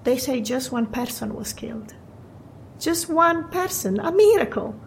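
A high-pitched Italian waitress, chatty yet fatalistic. Her English is accented and wistful, with natural audio drama delivery. A faint rumbling noise sits behind her. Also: lively intonation, measured pace. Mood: fatalistic, reflective. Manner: wistful, non-native English phrasing. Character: friendly, chatty, fatalistic Venetian café waitress.

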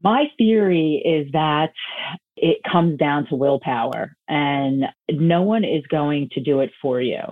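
A woman's voice: low-pitched, slow, no echo. Self-assured woman coach, phone-quality audio, dry and close. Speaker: guest, health coach. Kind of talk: podcast interview answers.

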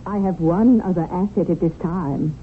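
An actress playing a poised, scheming, seductive widow in a 1970s radio drama, with a self-assured, insistent manner. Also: female voice, lowish voice, moderate pace, natural voice. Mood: composed, coy. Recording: tinny, noisy old radio broadcast.

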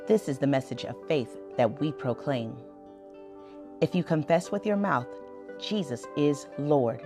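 A woman reading Bible scripture aloud for a podcast in a measured, solemn manner. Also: deep voice.

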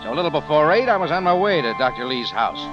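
Lively, wry adventurer. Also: male voice, on the high side, quick pace, dull audio. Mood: intrigued, narrating. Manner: casual, wry storytelling. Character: wry, easygoing American cafe owner and adventurer. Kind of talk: 1950s radio drama acting, first-person noir narration. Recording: vintage radio with music behind.

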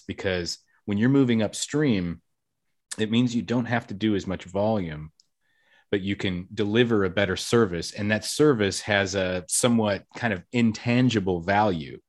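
A man's voice: fairly low pitch, normal speed. A man hosting a casual podcast interview, talkative and upbeat, with self-deprecating jokes. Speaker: podcast host.

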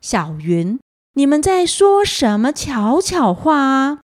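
A woman voicing a gentle, welcoming mother leopard with a soft voice, in a children's story. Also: sits high, big pitch swings, takes it slowly, no echo, studio quality, full character voice. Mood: curious.

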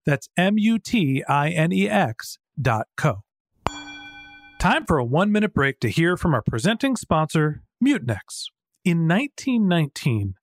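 A man's voice: fairly high pitch, no echo, studio quality. A man with an American accent reading a scripted sponsor message as a podcast host. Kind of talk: scripted ad read.

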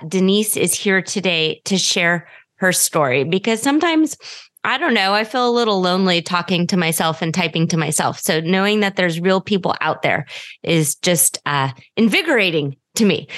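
An American woman speaks as a podcast host in a conversational style. She is effusive and openly self-deprecating, with a mid-pitched voice. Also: a bit bright. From United States.

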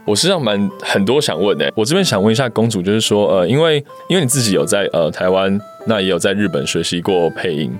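A man's voice: fairly low pitch, very animated, fast talker, few pauses, soft background music. A man with a Taiwanese accent asking casual interview questions in a hesitant, roundabout way. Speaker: podcast co-host, interviewer.

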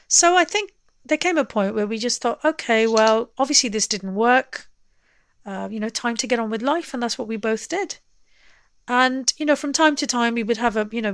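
A woman's voice: a little high, no room sound, studio quality. A woman telling personal memories conversationally, leaning on key words as she goes. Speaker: interview guest, singer. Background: music.

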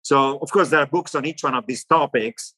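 A middle-aged economist with an Italian accent, answering interview questions in long animated lists. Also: male voice, mid-range voice, fairly quick. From Italy. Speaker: economist guest.

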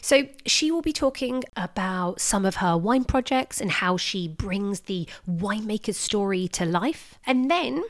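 A woman hosting a wine podcast, reading her intro with lively enthusiasm, then interviewing her guest. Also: unhurried, hardly any pauses. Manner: enthusiastic, expressive delivery with swooping pitch. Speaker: podcast host.

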